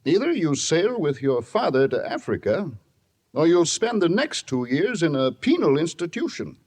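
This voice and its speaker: An actor playing a stern, shrewd judge with a gravelly voice, admonishing in measured old-time radio drama style. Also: male voice, on the high side, very animated, darkish tone. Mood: stern, firm.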